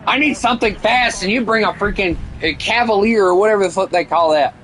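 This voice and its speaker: A young man with a Southern US accent talks loosely over a game stream, rambling through his opinions with plenty of ums and likes. His voice sits a bit high for a man. Faint game sounds hum in the background. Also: a little fast, a bit bright, poor audio. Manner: rambling, opinionated, with many fillers. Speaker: gaming streamer. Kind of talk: casual gameplay chat with viewers.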